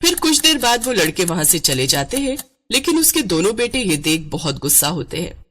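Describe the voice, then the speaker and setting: A woman's voice: lowish voice, big pitch swings, brisk, fairly bright tone. A woman reading scripted narration for a cartoon story as its storyteller.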